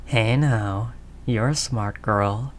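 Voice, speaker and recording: A man's voice: lowish voice, slow, slight background noise. A man playing a world-weary, doting mafia boss in an intimate roleplay, talking in teasing street slang with pet names. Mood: tender, teasing. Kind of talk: intimate scripted roleplay audio drama monologue.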